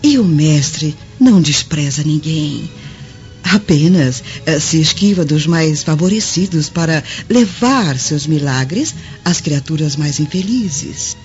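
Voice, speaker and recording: Male radio drama voice, slow, expressive, highish pitch, dull recording, music behind. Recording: dull lo-fi audio over music.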